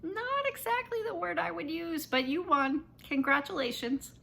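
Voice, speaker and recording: A sardonic young girl from a children's storybook, played by a woman reading aloud. She is wry and competitive, teasing drily in a bright voice. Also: extremely high pitch, normal speed, vocal fry, pitched above the performer's own voice, exaggerated voice. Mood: dry teasing. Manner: dry, teasing.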